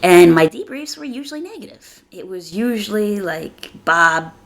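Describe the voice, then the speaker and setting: A woman hosting a casual motivational podcast, speaking conversationally and earnestly, slightly raspy from a chest cold. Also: medium pitch, big pitch swings, moderate pace. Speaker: podcast host.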